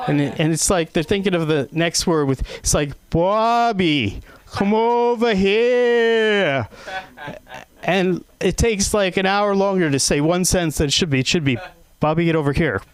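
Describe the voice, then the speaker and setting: A man doing open mic stand-up, rambling and self-deprecating with many fillers. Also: a little high. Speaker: stand-up comic. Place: comedy club stage.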